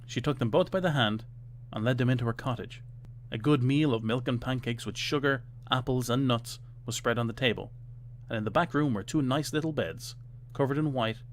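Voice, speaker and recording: A man narrates a fairytale from a script, as a storyteller. His pitch is mid-range, and there is faint noise in the recording.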